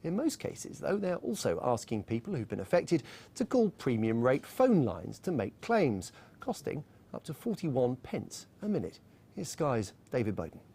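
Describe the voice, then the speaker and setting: A man presenting live television news and interviewing with brisk, pressing questions. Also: mid-range voice, wildly swooping pitch, vocal fry, warm tone, a little hiss or hum.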